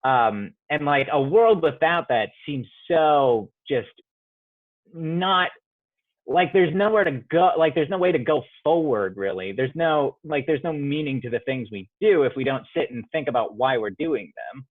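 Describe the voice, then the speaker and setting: A man's voice: fairly high pitch, measured pace, dry recording. A young man, a playwright, giving thoughtful interview answers with many fillers and restarts over a video call. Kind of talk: reflective casual interview answers. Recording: dry, tinny phone-like sound.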